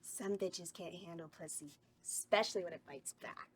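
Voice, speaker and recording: A woman's voice: on the low side, moderate pace, a little hiss or hum, lightly acted. A woman playing a campy, sultry horror hostess, brassy and bawdy, at a script table read.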